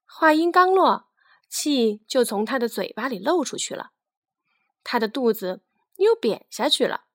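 A woman with a fairly high voice tells a fairy tale for children. Her reading is expressive and scripted, and the recording is close and dry. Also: measured pace, no echo. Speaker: children's storyteller.

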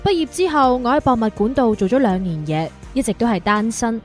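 An actress playing a coy, gently teasing young single woman in a Cantonese radio drama. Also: on the high side, expressive, brisk, soft background music, natural voice. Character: coy, virtuous young single museum worker.

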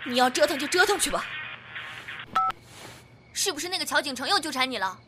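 A woman's voice: high voice, big pitch swings, a little fast, over music. A woman playing a jealous, hot-tempered young girlfriend in a modern Chinese audio drama, with an emotional, accusing delivery.

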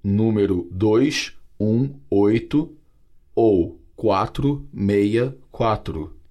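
A man with a Brazilian Portuguese accent reading vocabulary and dialogues for a language course, clear and carefully articulated. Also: lowish voice, drawn-out words, warm tone. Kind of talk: slow scripted language-lesson reading.

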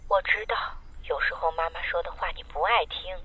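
A woman's voice: fairly low pitch, a little fast, bright, forceful tone, husky, poor audio. An actress playing a fretful middle-aged mother who pleads and nags in an audio drama. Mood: reproachful. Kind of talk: Chinese amateur audio drama acting.

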